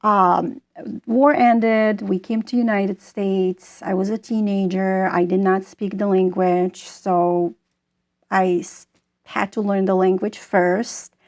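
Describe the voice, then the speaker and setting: A woman in her forties from Bosnia, speaking English, answering as an author in an interview with thoughtful pauses and fillers. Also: mid-range voice, level tone, a little mellow. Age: 40s. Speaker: author and guest.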